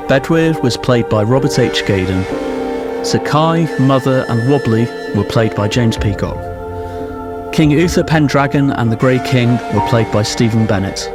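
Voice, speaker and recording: Male announcer, medium pitch, scripted credits reading, music behind. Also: measured pace.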